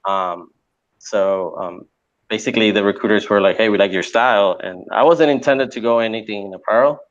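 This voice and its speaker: A man's voice: fairly low pitch, fairly quick. A man in his forties from Puerto Rico, a native Spanish speaker, talking as a guest in a casual interview with many fillers and restarts. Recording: dull lo-fi sound.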